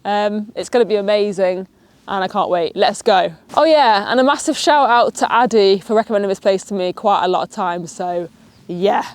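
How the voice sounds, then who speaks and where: A young woman with a Nottingham accent, sniffly and a bit nasal, chatting excitedly as a travel vlogger while out walking. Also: mid-range voice, moderate pace. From Nottingham, England. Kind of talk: chatty walk-and-talk vlog. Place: outdoors on a countryside walk, rain.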